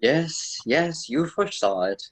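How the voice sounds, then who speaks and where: A man playing a wise, admonishing storyteller mentor in an amateur radio drama, formal and slightly husky. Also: fairly high pitch, animated, measured pace. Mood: grave. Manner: formal, solemn, didactic.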